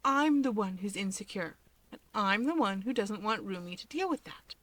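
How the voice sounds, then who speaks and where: A woman voicing an earnest, gentle, insecure adult sister in a story, speaking carefully but firmly. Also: mid-range voice, lively intonation, moderate pace. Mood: resolute.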